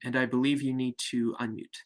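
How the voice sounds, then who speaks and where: A man's voice: mid-range voice, level tone, a little fast. A man hosting an online salon over a video call, formally introducing a guest. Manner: formal, announcing. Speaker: host.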